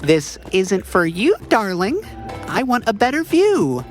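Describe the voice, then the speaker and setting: A woman's voice: medium pitch, huge pitch swings, unhurried, soft background music. An actress playing a poised, teasing woman working alongside a detective, with a playful, dry manner in an audio drama. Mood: arch, dry. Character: poised, teasing female client turned detective's partner.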